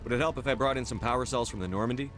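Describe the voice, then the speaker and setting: A man's voice: mid-range voice, racing, a bit bright, slight background noise, lightly acted. A man playing a direct, businesslike military starship commander in video game dialogue. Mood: helpful, steady.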